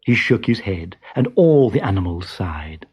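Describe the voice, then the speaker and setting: A storyteller giving an expressive narration of a children's storybook. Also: low-pitched, unhurried.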